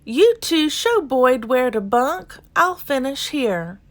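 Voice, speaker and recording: A woman voicing a brisk, no-nonsense female ranch boss in a children's storybook, folksy and commanding. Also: high voice, very animated, voice pushed up, full character voice. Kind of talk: children's storybook character reading.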